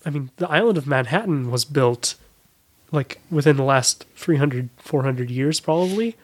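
A man's voice: a little high, normal speed. A man speaking as a guest in a casual discussion of films and books. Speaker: podcast guest.

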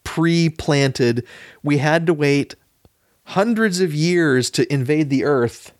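A man's voice: a little high, slow pace, darkish tone, no echo, studio quality. A man hosting a casual film discussion, bantering and giving firm opinions. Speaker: podcast host.

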